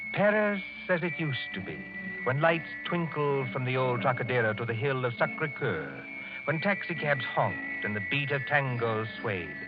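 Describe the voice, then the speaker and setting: A mysterious male storyteller played by a radio actor hosts a vintage suspense drama. He speaks formally and theatrically at a middle pitch, over music on a muffled broadcast. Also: natural voice. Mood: nostalgic, evocative. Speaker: host and narrator. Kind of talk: 1940s radio suspense narration.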